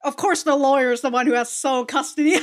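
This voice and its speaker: A woman with a somewhat high voice hosts a casual reaction video. She comments in loose slang, blunt and joking, and swears freely as she goes. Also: steady tone, fast.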